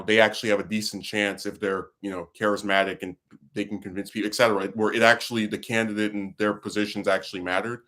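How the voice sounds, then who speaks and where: A man's voice: fairly low pitch, a bit bright. A man hosting a casual talk stream, venting about politics in a rambling way, full of fillers and restarts. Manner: frustrated, venting. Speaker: livestream host.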